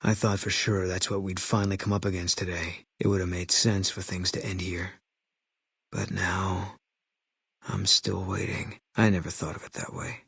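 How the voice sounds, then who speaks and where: A haunted ex-soldier sniper from a video game, speaking in a deep, husky voice. He is terse and stoic, with a grim fatalism in his delivery. The sound is dry and close. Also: flat delivery, moderate pace, a bit bright, no room sound, full character voice. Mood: stoic, weary. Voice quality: low, husky. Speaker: video game companion character.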